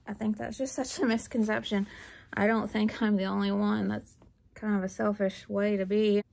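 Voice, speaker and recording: A woman's voice: medium pitch, flat delivery, moderate pace, gravelly, a little mellow. A woman vlogging from her living room floor, talking candidly and bluntly in a rambling way. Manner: blunt, rambling, laughs nervously. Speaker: book vlogger. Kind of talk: candid personal talk.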